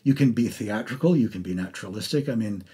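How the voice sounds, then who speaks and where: A man with a lowish, rough-edged voice hosts a relaxed interview. He speaks conversationally, circling back and repeating words. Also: quick pace. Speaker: host and theatre director-producer. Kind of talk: casual interview chat.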